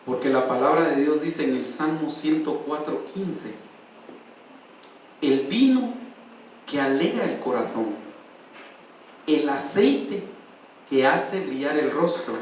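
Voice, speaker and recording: A man's voice: on the high side, slow, long pauses, slight background noise. A male pastor preaching a sermon and sharing a personal testimony with feeling, often calling out to his listeners as brother. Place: church. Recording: distant, echoey church hall.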